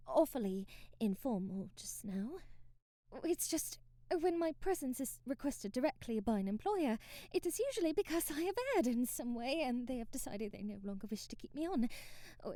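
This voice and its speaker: A woman playing a timid, devoted maid in an ASMR roleplay, babbling hesitantly and apologetically in formal old-fashioned wording, with a breathy voice that often drops to a whisper. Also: fairly high pitch, dark tone, no echo. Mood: flustered, apologetic.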